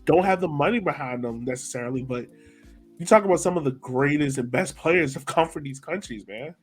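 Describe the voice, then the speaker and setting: A man's voice: a little high, fairly quick, husky, faint music behind. Earnest man in casual podcast chat. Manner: relaxed, earnest, many "like" and "you know" fillers. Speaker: podcast co-host.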